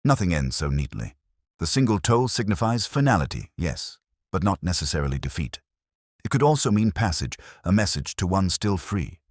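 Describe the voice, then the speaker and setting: A man's voice: deep voice, very animated, moderate pace, no echo, studio quality, pitched above the performer's own voice. A man voicing a keen, commanding detective with precise, theatrical, coolly triumphant delivery in an audio story. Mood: grave.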